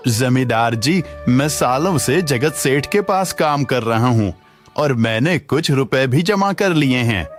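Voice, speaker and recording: A man voicing a humble, honest village farmhand with a polite, deferential manner in a cartoon moral story. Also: medium pitch. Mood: worried. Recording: music behind the voice.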